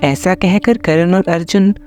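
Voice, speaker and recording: A man's voice: high-pitched, fairly even intonation, measured pace, a little mellow, faint music behind. A man narrating a scripted children's cartoon story as storyteller.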